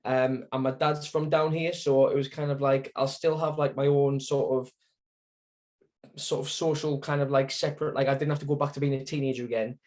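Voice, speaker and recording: A man's voice: mid-range voice, flat delivery, brisk, a little mellow. A young man with a Sunderland accent, a guest telling his story in a casual interview, rambling with lots of fillers and self-corrections. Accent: Sunderland (Mackem). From Sunderland, England.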